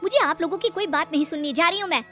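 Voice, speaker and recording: A woman's voice: very high-pitched, very fast, fairly bright tone, soft background music, voice pushed up. A woman playing an indignant, defensive unmarried schoolteacher daughter of about thirty in a cartoon story. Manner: indignant, protesting. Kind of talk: Hindi cartoon story acting.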